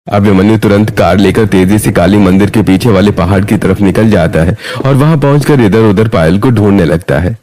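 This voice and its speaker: A man's voice: on the low side, brisk, hardly any pauses, warm tone, no room sound. A man narrating a scripted moral story as its storyteller.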